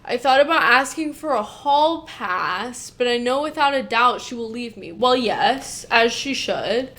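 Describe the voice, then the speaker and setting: A woman's voice: fairly high pitch, lively intonation, measured pace, sharp tone. A woman reading a post aloud and breaking off into blunt reactions that swear casually, sometimes stumbling and restarting mid-sentence. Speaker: co-host reacting to a Reddit post.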